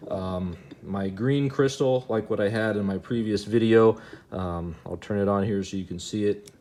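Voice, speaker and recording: A man hosting a casual, unscripted demo video, speaking in a halting way and repeating himself. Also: lowish voice, dark tone. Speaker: host of a hobby gadget demonstration video. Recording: noticeable background noise.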